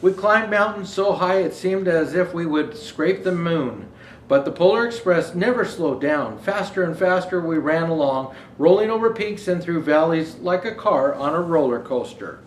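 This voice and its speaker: A man's voice: on the high side, lively intonation, normal speed, lightly acted. A man playing Santa Claus as a jolly old storyteller, reading a children's storybook aloud with chatty asides. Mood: gentle storytelling. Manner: jovial ho-ho greetings, chatty asides. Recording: roomy echo, faint background noise.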